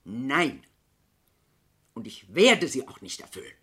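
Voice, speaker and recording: An actress playing a bitter, defiant niece in a German radio play, speaking with pointed, theatrical sarcasm. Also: female voice, very deep voice, big pitch swings, slow pace, a bit bright, exaggerated voice. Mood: mocking. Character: bitter, defiant niece, a former teacher turning on her relatives.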